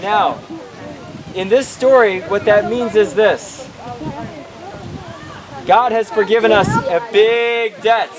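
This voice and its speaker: A man's voice: slow pace, pauses often, a loud crowd. A man speaking as pastor and emcee, quizzing children at an outdoor puppet show, repeating their answers and checking with short questions. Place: outdoors at an open-air show. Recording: noisy outdoor recording with traffic rumble.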